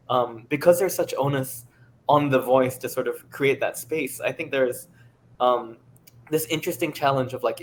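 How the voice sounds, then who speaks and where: A man with a mid-pitched voice talks through his craft as a director on a panel. He is earnest and explanatory, and some noise sits behind his voice. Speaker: audio drama director, panelist. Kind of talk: thoughtful panel discussion. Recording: clear background noise.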